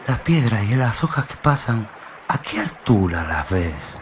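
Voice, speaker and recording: A man's voice: fairly low pitch, animated, a little slow, voice pushed up. A man voicing a stern, probing school inspector in a radio play, questioning firmly and commandingly over a noisy ambient background. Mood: urgent. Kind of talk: dramatic radio play storytelling.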